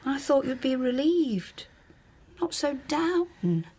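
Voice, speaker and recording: An actress playing a matter-of-fact, gossipy hotel chambermaid, wary but helpful, confiding in a radio drama. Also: female voice, high-pitched, big pitch swings, slow, warm tone, full character voice.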